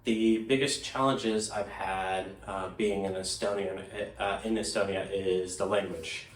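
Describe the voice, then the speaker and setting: An American man giving reflective interview answers in a halting way, thinking aloud as he goes. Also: sits low, fairly even intonation. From United States. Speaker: interviewee, migrant. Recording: echoey room, distant microphone.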